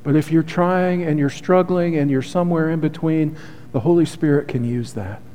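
A man's voice: on the high side, moderate pace, slight background noise. A man preaching a sermon as pastor, earnest and heartfelt, with a soothing voice. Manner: earnest, heartfelt preaching with soft confessional asides. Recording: long hall reverb.

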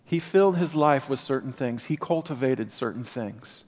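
A man preaching a sermon in earnest, building emphasis and then dropping to quieter passages. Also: medium pitch, lively intonation, normal speed, big-room sound, lo-fi sound. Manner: earnest, rising and falling emphasis.

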